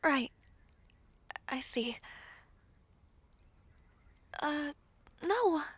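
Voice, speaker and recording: Woman acting a soft, high-pitched shy young maid. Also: lively intonation, drawn-out words, no room sound, exaggerated voice. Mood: shy, apologetic. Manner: soft-spoken, hesitant, polite, formal. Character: gentle, modest young maid unused to noble attention. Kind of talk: romantic audio roleplay acting.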